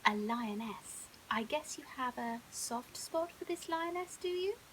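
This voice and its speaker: A woman's voice: high voice, animated, measured pace, rough recording. A woman voicing a posh, self-satisfied, clever older female crocodile in a sing-song storybook manner. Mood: knowing. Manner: posh sing-songy delivery. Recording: hissy background noise.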